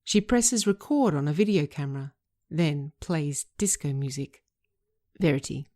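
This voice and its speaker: A woman narrating a screenplay aloud, reading scene headings and stage directions in a measured, deliberate way. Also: sits low, animated, mellow. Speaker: writer and narrator.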